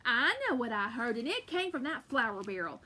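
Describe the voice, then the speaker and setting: A woman voicing a grumpy, hungry male giant who booms his complaints loudly. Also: sing-song, normal speed, full character voice. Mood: grumbling.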